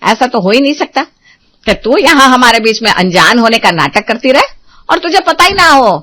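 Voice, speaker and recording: A woman's voice: fairly high pitch, very animated, moderate pace, bright, forceful tone, full character voice. A woman playing an accusing, domineering middle-aged mother-in-law in a melodramatic Hindi family drama, scolding with bitter sarcasm. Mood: accusing, angry.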